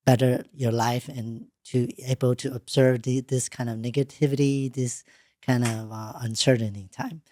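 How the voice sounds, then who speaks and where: A woman, not a native English speaker, hosting an interview in a studio, talking in a halting way with frequent restarts. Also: a bass voice, mellow, dry recording. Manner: halting, restarts often, plain unpolished English. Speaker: podcast host. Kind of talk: casual interview.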